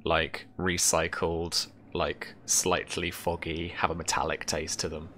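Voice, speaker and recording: A man's voice: deep voice, level tone, unhurried, sharp tone, a little hiss or hum. A young man running a tabletop game as game master, describing scenes casually in piecemeal phrases. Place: indoor room, video call.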